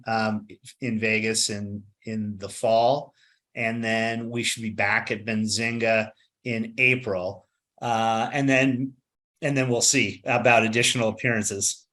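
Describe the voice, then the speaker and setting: A man's voice: medium pitch, fairly even intonation, measured pace, pushed and bright. A man answering as an interview guest, making his points emphatically and often ending them with "right?". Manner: emphatic, repeats words, says "right?". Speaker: company executive guest.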